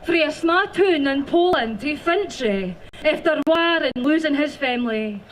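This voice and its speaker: A woman's voice: sits high, expressive, measured pace, a little hiss or hum, rough recording. A young woman from Dundee with a Dundonian Scots accent, speaking earnestly at an outdoor rally and then reading a poem. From Dundee, Scotland. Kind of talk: rally speech and poetry reading.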